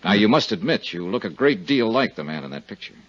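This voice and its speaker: An actor playing a cool, persistent, polite insurance investigator in a 1950s radio detective drama. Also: male voice, lowish voice, big pitch swings, fast talker. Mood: courteous, probing. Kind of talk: 1950s radio detective acting and first-person narration. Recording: dull 1950s radio recording.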